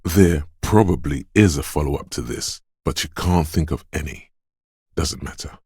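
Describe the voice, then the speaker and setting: A man playing a detective's inner voice of quick wits and reflexes in a video game, narrating with a measured, dramatic delivery. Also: a little mellow, no room sound, studio quality, full character voice. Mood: watchful, knowing. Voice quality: very deep, smooth, resonant.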